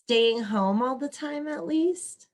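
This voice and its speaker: Casting: actress as agreeable young grad, high voice, slow delivery, earnest and perky, comic stage reading. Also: female voice, expressive, full character voice. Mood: earnest, content. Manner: girlish slang, emphatic. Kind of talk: online play reading, comedic dialogue.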